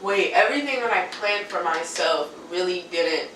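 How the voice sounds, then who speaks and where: A woman in her twenties co-hosting a faith podcast, chatting in a relaxed way with lots of fillers. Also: lowish voice, normal speed, reverberant, far from the mic, a little hiss or hum, poor audio. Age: 20s. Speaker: podcast co-host. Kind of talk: casual chat.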